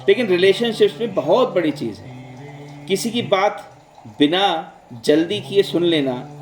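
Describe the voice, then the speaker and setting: A man narrating in a conversational, reflective way, speaking directly to listeners and mixing in English words. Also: on the high side. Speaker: vlog host and storyteller. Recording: roomy, echoing sound.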